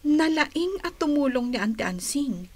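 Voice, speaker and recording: A gentle young lady of the house in a radio drama. Her voice is fairly high, and she speaks softly and politely. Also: sing-song, measured pace. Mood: gentle, hopeful. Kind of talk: radio drama acting in Ilocano.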